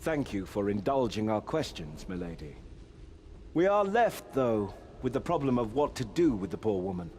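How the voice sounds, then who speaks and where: A man's voice: fairly low pitch, big pitch swings, normal speed, a little mellow, slight background noise. A man playing a proud, pious, chivalrous knight, speaking in a formal, courtly way in fantasy game dialogue. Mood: courteous, cautious. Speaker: game character. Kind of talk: voice-acted fantasy quest dialogue.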